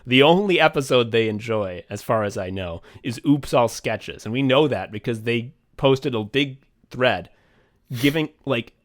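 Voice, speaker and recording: Rambling, swearing podcast host. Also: male voice, medium pitch, a little slow. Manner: rambling, swears often, many restarts and fillers. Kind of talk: casual podcast chat.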